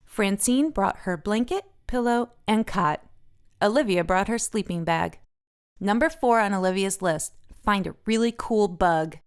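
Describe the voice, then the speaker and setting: A woman's voice: mid-range voice, a little slow, smooth. A woman narrating a picture book aloud for children as the host of a read-aloud.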